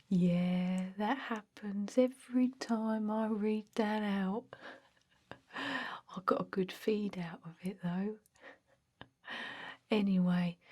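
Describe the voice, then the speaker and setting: A woman acts out a female energy vampire working a dull office job in an ASMR roleplay. The voice is soft, mellow and breathy, often dropping into a whisper. The delivery is extremely slow, halting and deadpan, droning on without hurry. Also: mid-range voice. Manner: halting, monotone, hesitant, deadpan.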